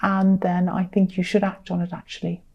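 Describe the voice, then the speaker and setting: A tired woman with a slightly croaky voice, giving a conversational tarot reading to viewers in an earnest, gently advising way, repeating phrases for emphasis. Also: on the low side, completely flat delivery, normal speed, lo-fi sound. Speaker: tarot reader.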